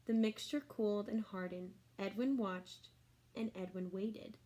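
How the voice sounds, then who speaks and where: A woman's voice: medium pitch, fairly even intonation, measured pace, mellow, low-quality recording. A woman reading a children's book aloud like a teacher, expressively, with exclamations and simple explanatory asides for young listeners.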